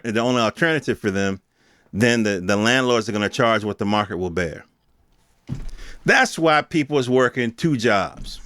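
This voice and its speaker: A man's voice: medium pitch, fairly bright tone, dry recording, studio quality. A middle-aged American man hosting a podcast, talking in a casual, rambling, streetwise and opinionated way. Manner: informal, streetwise, opinionated, emphatic with swearing. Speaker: podcast host. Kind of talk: casual rambling monologue commentary.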